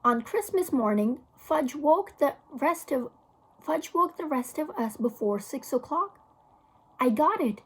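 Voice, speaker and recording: A woman with a husky voice reading a children's novel aloud as a teacher would for a class. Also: high-pitched, expressive, measured pace, low-quality recording.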